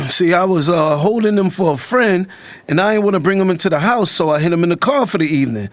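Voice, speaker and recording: An actor playing a calm, loyal young man with casual phrasing in a first-person dramatized audiobook. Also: sits high, fast, no room sound, studio quality. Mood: nervous, respectful.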